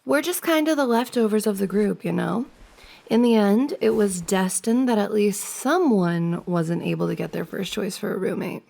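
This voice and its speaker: A woman's voice: medium pitch, normal speed, creaky, mellow. A woman playing a friend's giggly, teasing older sister in an intimate, conversational ASMR roleplay. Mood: playful, reassuring.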